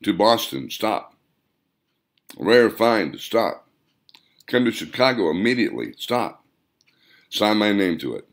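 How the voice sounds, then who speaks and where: A man's voice: fairly low pitch, expressive, slow pace, gravelly, pauses often, full character voice. A man voicing a small, frail, eager storekeeper who gives urgent orders in an audiobook western. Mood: urgent, commanding.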